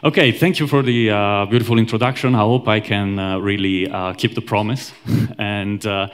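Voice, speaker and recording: Italian man, lowish voice, conference speaker, hesitant with lots of fillers. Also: steady tone. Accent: Italian (Roman). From Italy. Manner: halting, many fillers and restarts. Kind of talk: technical conference talk.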